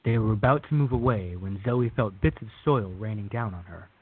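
A man with a husky voice reading scripted narration for a radio drama. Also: lowish voice. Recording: thin phone-like band.

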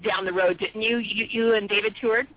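A chatty woman in her fifties hosts a relaxed radio interview and laughs easily. Her voice is breathy and a little husky. The sound is dry and tinny, like a phone line. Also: mid-range voice, normal speed, no room sound. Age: 50s. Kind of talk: casual interview chat.

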